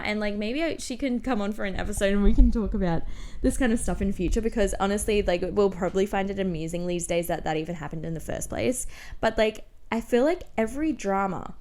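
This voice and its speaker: A young woman telling personal stories casually as a podcast host, in a slangy, self-mocking, animated way. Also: medium pitch. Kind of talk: casual confessional storytelling.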